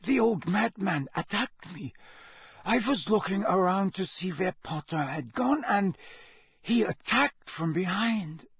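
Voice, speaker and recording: A man performs a young student wizard in a fantasy audiobook. He mutters slowly, dazed and aggrieved, in a raised, husky voice. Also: fairly high pitch, animated, no echo, pitched above the performer's own voice, heavily acted. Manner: slow, muttering. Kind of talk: dramatised audiobook reading.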